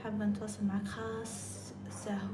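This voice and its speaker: Fast-talking Algerian woman, echoey room. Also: medium pitch, level tone, background noise. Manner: chatty, jumps between topics, mixes in French and English. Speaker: live-stream host. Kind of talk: casual live-stream chat.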